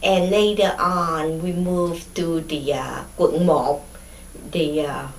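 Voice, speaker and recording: A middle-aged woman from Vietnam with a Vietnamese accent, recalling her childhood in an oral history interview, pausing often with fillers and restarts. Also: sits low, fairly even intonation, roomy sound, slight background noise. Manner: hesitant, many fillers and restarts.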